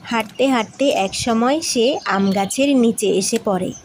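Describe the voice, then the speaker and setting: Female narrator for children's fables, slightly high voice, very unhurried delivery, faint birdsong in the background. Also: a little mellow, slight background noise. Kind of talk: scripted children's story narration.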